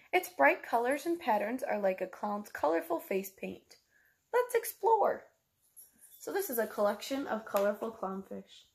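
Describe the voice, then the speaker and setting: A woman's voice: on the high side, darkish tone. A woman, reading a picture book aloud like a teacher, explaining simply to young children and asking them questions. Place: small room at home, indoors.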